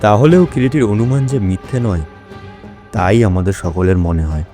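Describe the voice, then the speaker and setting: Male narrator for audio mystery, deeper register, scripted delivery, soft music underneath. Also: moderate pace.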